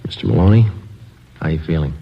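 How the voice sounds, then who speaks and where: An actor playing a terse police sergeant in an old radio drama, speaking in a clipped, matter-of-fact way. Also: male voice, a bass voice, quick pace, slight background noise. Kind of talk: 1950s radio drama acting. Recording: dull old radio broadcast recording.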